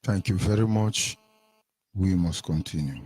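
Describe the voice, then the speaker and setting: A man's voice: low voice, a little slow, a little mellow, husky, dry recording. A man hosting a radio phone-in, briefly moderating and prompting callers.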